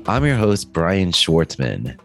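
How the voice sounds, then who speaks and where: A hoarse man with a cold, hosting a podcast from his home studio, talking in an earnest, thoughtful way with frequent restarts. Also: deep voice, very animated, takes it slowly, loud music behind the voice. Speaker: podcast host. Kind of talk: podcast introduction.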